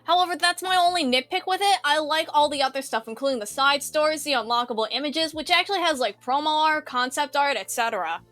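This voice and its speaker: A woman's voice: high voice, fast, few pauses, a bit bright, no room sound, soft background music. A woman streamer chatting casually and enthusiastically about details as she co-hosts a tutorial. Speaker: streamer co-hosting a visual novel tutorial. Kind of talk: casual chat.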